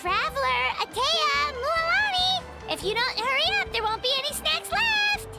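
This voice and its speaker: A woman voicing a tiny, childlike, bubbly fairy companion with a squeaky, excitable voice in a video game. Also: moderate pace, vocal fry, over loud music, heavily acted. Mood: urgent, excited. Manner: excitable, speaks of herself in third person. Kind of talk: animated video game voice acting.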